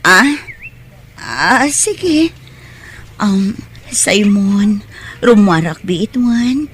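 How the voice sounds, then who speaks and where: An actress playing a greedy, scheming aunt in an audio drama, speaking with an Ilocano Filipino accent in an exclamatory, theatrical way. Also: female voice, medium pitch, lively intonation, very slow, slightly rough, full character voice. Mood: falsely caring. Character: greedy, scheming aunt exploiting her singing nephew.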